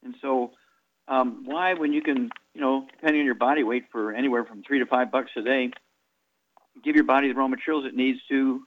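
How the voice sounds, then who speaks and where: Rambling eighty-year-old radio host. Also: male voice, mid-range voice, steady tone, brisk, phone-quality sound. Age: 80s. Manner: rambling, repetitive, with fillers. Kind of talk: radio talk and product pitch.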